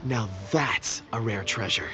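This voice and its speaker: An actor playing a courteous, earnest gentleman in a video game, speaking in a polite, effusive, flowery way. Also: on the low side, measured pace. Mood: admiring, friendly. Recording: slightly dull audio with music.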